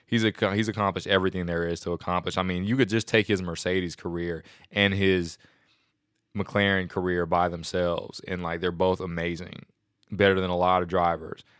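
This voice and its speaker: A man hosting a casual solo podcast, excited and rambling, a little nasal and sniffly from a cold. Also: deep voice, moderate pace, smooth, no room sound, clean studio sound. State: congested from a stomach bug. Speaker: podcast host.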